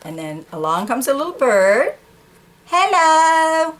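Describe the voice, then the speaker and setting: A woman's voice: lowish voice, expressive, normal speed, a bit bright. A woman reading a picture book to children, narrating informally with explanatory asides. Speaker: storytime reader.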